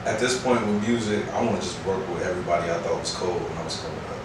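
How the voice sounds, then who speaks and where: A deep-voiced American man gives an informal, slang-filled interview as a guest. The sound is very reverberant, with clear noise around him. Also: fairly even intonation, fast. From Chicago, United States. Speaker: rapper and producer being interviewed. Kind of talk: casual interview chat. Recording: echoey room, distant mic, noisy background.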